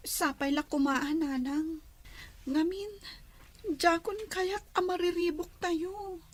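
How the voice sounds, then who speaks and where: A woman's voice: extremely high pitch, steady tone, slow. A woman playing a timid, anxious, dutiful young daughter in a radio drama. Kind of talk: Ilocano radio drama acting.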